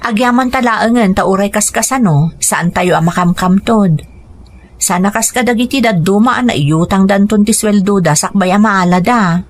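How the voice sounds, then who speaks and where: An actress playing a gentle, patient mother and wife in a radio drama, speaking with a Filipino accent. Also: mid-range voice, normal speed, a little hiss or hum, close to an everyday voice. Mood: warm, domestic. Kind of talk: radio drama acting in domestic scenes. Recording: music bed behind radio drama dialogue.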